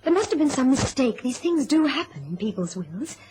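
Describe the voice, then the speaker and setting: A woman's voice: high-pitched, big pitch swings, fairly quick, mellow, slight background noise. A young woman playing a sweet, self-effacing niece, earnest and hesitant, in a radio drama. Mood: earnest, pleading. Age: young adult.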